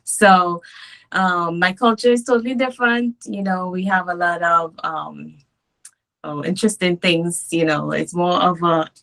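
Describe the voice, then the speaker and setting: A woman from Trinidad, chatting easily as an interview guest over a video call, chuckling often and filling pauses with ums. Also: on the low side, steady tone, creaky, a bit bright, poor audio. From Trinidad and Tobago. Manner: easygoing, chuckles often, many filler ums. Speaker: guest, domestic violence coach. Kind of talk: casual interview chat.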